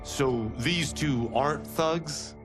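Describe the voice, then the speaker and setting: An actor playing a brash, sceptical young martial arts fighter in a game cinematic, with a cocky, questioning manner. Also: male voice, on the high side, takes it slowly, music in the background. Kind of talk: video game cinematic acting.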